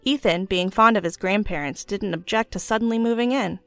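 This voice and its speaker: A woman's voice: mid-range voice, a little fast, no room sound. A woman narrates a scripted first-person story in a mid-range voice. The recording is very dry, and music plays behind her. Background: background music.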